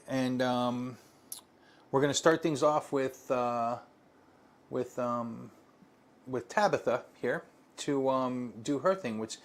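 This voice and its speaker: A man co-hosts a casual live stream and chats with a mid-range voice. He rambles and keeps restarting his sentences, filling the pauses as he goes. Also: medium pitch. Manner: chatty, rambling, with frequent fillers and restarts.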